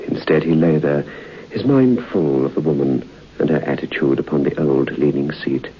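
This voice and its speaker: An actor playing the narrator of an old-time radio ghost story, telling it in a measured, suspenseful way. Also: male voice, extremely low pitch, heavily acted. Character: storyteller recounting a friend's eerie experience. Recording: muffled old radio broadcast, faint noise.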